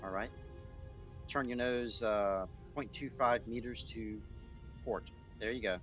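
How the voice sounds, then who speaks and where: A man's voice: fairly low pitch, steady tone, slow pace, a bit bright. A man acting as a flight deck trainer, giving military-style procedural instructions in online roleplay. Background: music.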